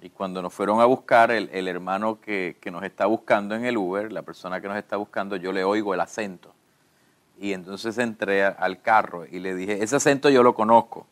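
A man, interviewing a pastor and telling stories in a lively way. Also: lowish voice, brisk. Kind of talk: church radio interview.

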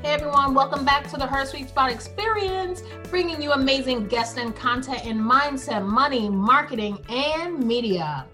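Bright, upbeat woman podcast host. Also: sits high, normal speed, hardly any pauses, faint music behind. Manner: upbeat, chatty, laughs easily. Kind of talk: casual interview hosting.

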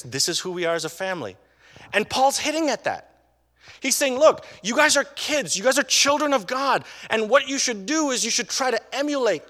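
A man's voice: high voice, sharp tone. A man preaching a sermon in a casual, conversational way, often tagging his points with questions to the congregation. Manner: conversational, tag questions, restarts. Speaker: pastor. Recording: long reverb of a hall.

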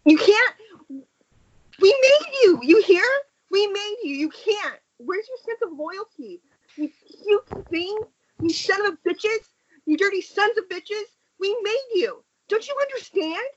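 A wronged, indignant film actress, acted by a woman at an amateur table read. Her voice sits extremely high. The audio is muffled, like an online call. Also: expressive, measured pace, slight background noise. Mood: anguished, pleading. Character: angry, betrayed actresses, a jealous woman and a waitress.

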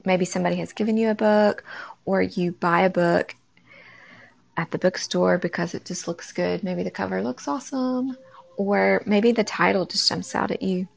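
A woman hosting a faith-based talk, speaking conversationally to her listeners with a raspy early-morning voice. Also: medium pitch, steady tone, moderate pace. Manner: warm conversational, addresses listeners directly. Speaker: podcast host. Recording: dull lo-fi recording.

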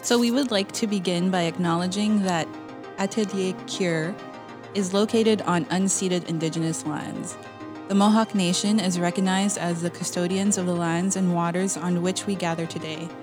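A woman from Montreal, a podcast guest, reading a land acknowledgement in a measured, formal way. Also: fairly low pitch, steady tone, music in the background. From Montreal, Canada.

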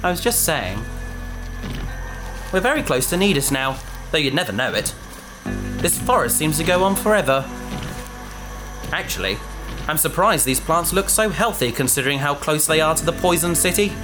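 An actor playing a bookish, curious young adventurer who talks eagerly, like a lecturer. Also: male voice, on the high side, very animated, normal speed, pauses often, rough recording. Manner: enthusiastic, lecturing. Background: music.

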